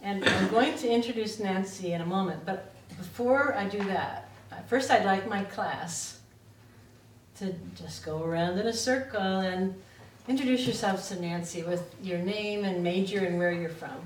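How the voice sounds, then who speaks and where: A woman's voice: mid-range voice, moderate pace. A woman college instructor introducing guests to her class in a plain, organized way. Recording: echoey classroom from far off, faint noise.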